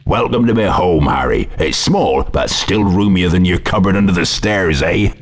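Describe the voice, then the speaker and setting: An actor playing a big, gruff, kindly groundskeeper in a video game. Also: male voice, deep voice, normal speed. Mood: warm, proud. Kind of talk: video game character acting.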